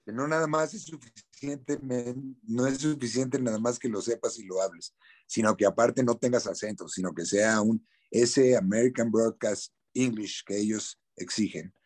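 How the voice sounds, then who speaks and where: A middle-aged man with a Mexican accent talks frankly in an interview. His voice sits at an ordinary middle pitch. He drops in slang and loops on repeated words while he hunts for the right phrase. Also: normal speed, darkish tone. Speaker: actor, interview guest. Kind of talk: casual interview.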